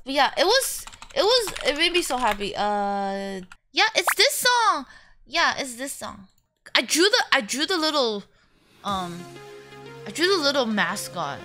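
A young woman streamer telling stories casually, chatty and a little crude, jumping between excited squeals and flat deadpan jokes. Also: sits high, a little slow, soft background music. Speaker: VTuber streamer. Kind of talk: casual storytelling vlog.

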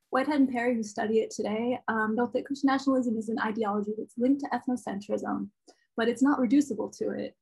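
A woman researcher presents her fieldwork in a soft, quiet voice over a laptop mic. Her tone is slightly high, breathy and edged with vocal fry. She speaks casually, filling gaps with ums. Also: low-quality recording. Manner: casual, with many ums and uhs. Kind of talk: academic conference talk. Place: home room. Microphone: laptop webcam on video call.

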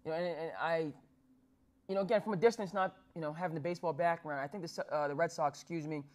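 Male sports talk host in his thirties from New Jersey, slightly high voice, formal and halting with plenty of fillers, lapel mic in a small studio. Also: fairly even intonation. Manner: formal wording with many fillers and restarts. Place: small studio with dark backdrop.

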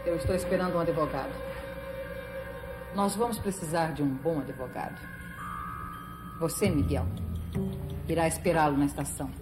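Casting: actress as imperious female gang boss, Brazilian, low and measured, scheming; echoey old TV drama with loud music. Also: close to an everyday voice. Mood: cool, commanding. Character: commanding, scheming woman boss of a criminal gang. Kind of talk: 1970s telenovela acting.

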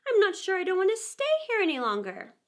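A woman's voice: very high-pitched, big pitch swings, fast, pitched above the performer's own voice. A woman voicing a curious young girl who muses and argues with herself in a storybook reading. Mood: musing. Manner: musing, self-questioning.

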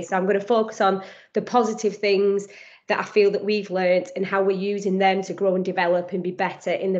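A woman with a strong Lancashire accent speaks quickly and upbeat, full of ums and restarts. Her pitch stays fairly even and flat. She talks into a headset on a slightly dull, lo-fi video call. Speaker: guest speaker. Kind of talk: online panel presentation.

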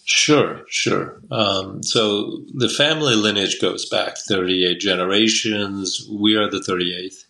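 A man in his sixties from Taiwan speaks over a video call from a room at home. His voice sits low yet sounds bright and forceful. He rambles easily, circling back with fillers and restarts. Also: slow pace, gravelly, hardly any pauses, rough recording. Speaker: guest, Chinese medicine doctor. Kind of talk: casual interview talk. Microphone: video call webcam.

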